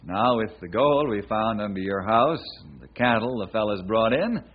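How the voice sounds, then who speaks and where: An actor playing a steady, kindly, sharp-minded forest ranger in an old-time radio western, with a husky voice. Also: male voice, fairly low pitch, normal speed, narrow, tinny audio. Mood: warm, reassuring.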